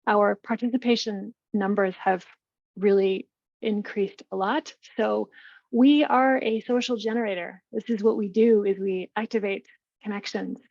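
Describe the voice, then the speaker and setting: A woman who manages a seniors network, presenting her program in a webinar with lively energy and some restarts. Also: medium pitch, unhurried, gravelly, no room sound, lo-fi sound. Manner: energetic, talks through slides with restarts. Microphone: video call.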